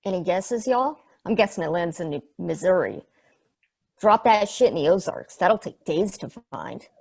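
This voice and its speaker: A woman's voice: fairly low pitch, sing-song, normal speed, creaky, a little mellow, exaggerated voice. A woman playing a slangy, irreverent space probe in a stage play table read. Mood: casual. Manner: folksy, sweary slang.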